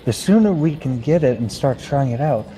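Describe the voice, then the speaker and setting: A man's voice: medium pitch, lively intonation, moderate pace, mellow, slight background noise, lightly acted, poor audio. A young man playing a restless, eager space adventurer in an old-time radio serial. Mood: eager, puzzled.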